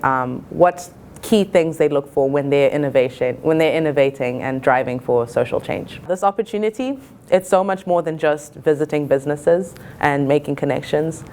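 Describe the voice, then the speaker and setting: A woman's voice: low-pitched, darkish tone. A young woman in her early twenties from Zimbabwe, reflecting on her experiences as a college student in a thoughtful, earnest way. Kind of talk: reflective interview-style talk.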